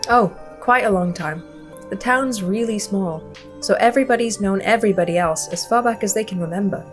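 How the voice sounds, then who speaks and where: A woman's voice: mid-range voice, fairly quick, soft background music, natural voice. A woman voicing a friendly, folksy, chatty young small-town woman in a visual novel game. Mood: reminiscing.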